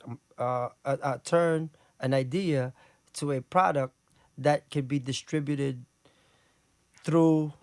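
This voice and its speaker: A man's voice: mid-range voice, steady tone, darkish tone, no echo. A man who is a rapper with a Brooklyn accent, talking casually in an interview, rambling with many restarts and fillers. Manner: rambling, halting with many restarts and "you know what I'm saying". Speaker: rapper and guest.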